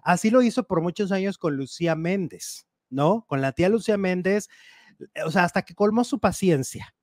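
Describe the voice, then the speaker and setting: A man co-hosting a casual gossip show, animated and chatty as he reads out and comments on news items. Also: high voice, normal speed, dry recording, clean studio sound.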